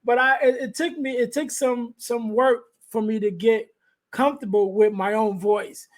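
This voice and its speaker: A man with a light, high voice hosts a podcast conversation. He talks softly and haltingly, restarting phrases and leaning on fillers as he thinks aloud. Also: fairly even intonation. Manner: halting, many restarts and fillers, soft-spoken. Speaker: podcast host. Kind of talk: conversational interview. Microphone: podcast microphone.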